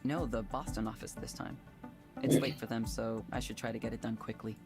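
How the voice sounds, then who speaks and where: A man's voice: fairly low pitch, steady tone, brisk, over loud music, close to an everyday voice. Man acting as an earnest, conflicted young tech lead. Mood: resigned, apologetic. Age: young adult. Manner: earnest, hesitant, self-doubting. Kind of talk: naturalistic visual novel dialogue.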